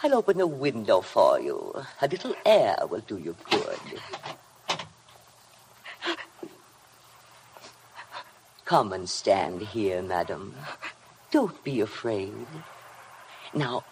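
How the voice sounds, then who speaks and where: A sinister servant woman in 1950s radio style. She speaks slowly and hypnotically, formal on the surface and cruelly obsessive beneath. The old broadcast recording is clearly noisy. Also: extremely low pitch, sing-song, darkish tone, exaggerated voice. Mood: hypnotic menace. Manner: slow, hypnotic, menacing, formally servile. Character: sinister obsessive housekeeper, coldly goading her young mistress. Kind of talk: 1950s radio drama acting.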